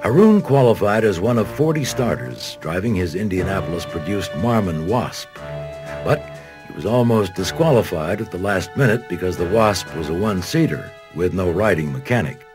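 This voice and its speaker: American male narrator, lively intonation, dramatic storytelling, scripted documentary delivery, prominent music behind. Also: low-pitched, moderate pace.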